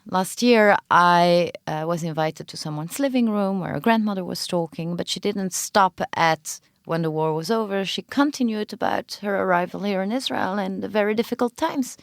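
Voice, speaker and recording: A woman director talks through her project in a podcast interview, earnest and unhurried, often stopping mid-sentence to find the right word. Her voice sits at an ordinary middle pitch and is recorded close and dry, with almost no room sound. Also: creaky, no room sound. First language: not Hebrew. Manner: earnest, searching for words with frequent pauses. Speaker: playwright and film director, interview guest.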